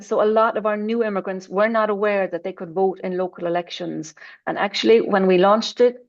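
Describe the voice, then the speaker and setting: Woman presenter, casual ums, slightly dull call audio, mid pitch. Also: creaky. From Ireland. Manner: informal, with frequent ums and apologies while sharing her screen. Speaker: adult education presenter. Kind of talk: online conference presentation.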